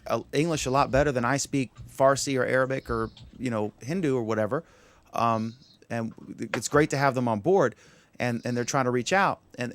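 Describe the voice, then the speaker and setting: A man hosting an online panel discussion, asking long, rambling questions that he keeps breaking off and restarting. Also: medium pitch. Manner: rambling, self-interrupting questions. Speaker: show host. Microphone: video call.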